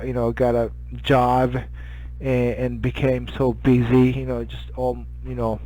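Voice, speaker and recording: Middle-aged man, Japanese accent, amateur radio chat; unhurried, halting delivery with little pitch movement. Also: dark tone, low-quality recording. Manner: halting, filler-heavy, searching for words. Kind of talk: casual radio contact chat.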